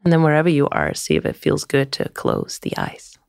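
A woman guiding a meditation in a soothing, gentle, unhurried way, often trailing into a breathy near-whisper. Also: deep voice, huge pitch swings, no echo, studio quality. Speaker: meditation guide. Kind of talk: guided meditation.

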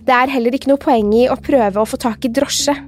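A woman hosts a true crime podcast in a fairly high voice. She reads from a script as a narrator, with faint music behind her. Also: steady tone.

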